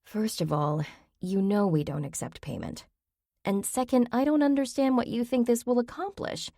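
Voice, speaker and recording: A woman's voice: a little high, moderate pace, mellow, no room sound, clean studio sound, pitched above the performer's own voice, lightly acted. A woman voicing a practical, puzzled adult woman who asks reasonable questions in an audiobook.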